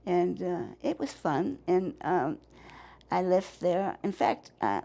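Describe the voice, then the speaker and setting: A woman in her nineties with a New England accent, recalling her past in an interview, halting and rambling with many restarts. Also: on the low side, lively intonation, vocal fry, warm tone. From Massachusetts. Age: 90s. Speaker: interviewee, war veteran. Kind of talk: oral history interview.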